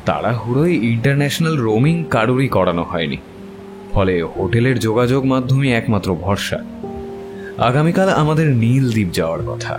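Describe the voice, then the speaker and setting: Male audio-story narrator, mid-pitched, measured and literary, with prominent guitar music underneath. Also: very animated. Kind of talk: scripted first-person story narration. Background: plucked guitar music.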